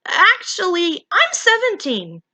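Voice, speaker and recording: A woman's voice: extremely high pitch, wildly swooping pitch, slow, sharp tone, pitched above the performer's own voice, exaggerated voice. A woman voicing a brash, possessive teenage female fox fairy in a story, confident and snappy. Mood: nervous.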